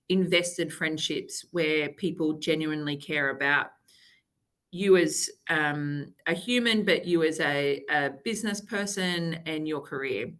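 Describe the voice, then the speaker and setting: Bright, chatty woman guest. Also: deep voice. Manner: upbeat, chatty, laughs while talking. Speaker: guest, company executive. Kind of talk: casual interview chat.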